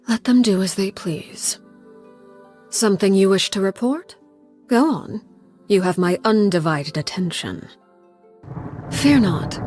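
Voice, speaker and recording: An actress playing a cold, composed, menacing female commander in a video game, speaking in a measured, formal, politely threatening way with a breathy voice. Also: lowish voice, darkish tone. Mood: calm, composed. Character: cold, composed, menacing woman commander called Father by orphans. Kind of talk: scripted video game character voice lines.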